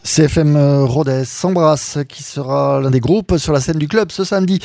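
A man's voice: fairly high pitch, normal speed, gravelly, music in the background. A man hosting a radio interview in a studio, talking in a friendly, hesitant way with many fillers. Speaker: radio host.

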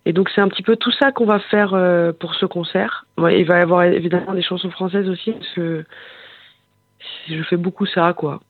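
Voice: mid-range voice, fairly even intonation, normal speed, dry recording. Hesitant singer on a tinny phone line. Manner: hesitant, searching for words, many fillers. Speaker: singer and bandleader. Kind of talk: phone interview.

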